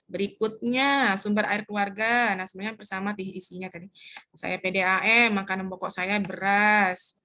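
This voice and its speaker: A woman explaining step by step how to fill in a community household form, pausing often to search for words. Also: medium pitch, steady tone, bright, forceful tone. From Indonesia. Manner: hesitant, searches for words with many fillers. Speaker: community trainer.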